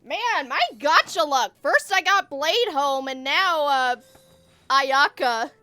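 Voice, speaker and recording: A woman chatting casually and a bit scatteredly to viewers as she livestreams a game, excitable in manner. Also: sits high, slow, no echo. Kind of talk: casual livestream chat while gaming.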